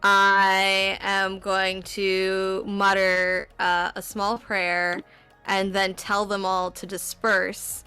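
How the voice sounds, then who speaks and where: A woman with a bright, very clear voice explains game moves and rules as a tabletop player. Soft music sits behind her. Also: medium pitch, monotone, extremely slow. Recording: music under the voice.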